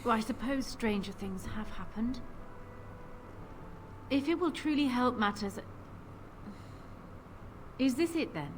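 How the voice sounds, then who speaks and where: A woman playing a proud, shrewd widowed queen in a video game, speaking in formal, measured phrases. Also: on the high side, animated, background noise. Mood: dry, guarded. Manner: formal, measured, composed wording. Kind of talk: scripted video game acting.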